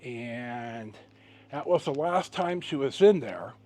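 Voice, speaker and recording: A middle-aged man telling a personal story casually to his viewers as a vlog host, rambling and halting with dramatic pauses and emphasis. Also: mid-range voice, expressive, warm tone, a little hiss or hum.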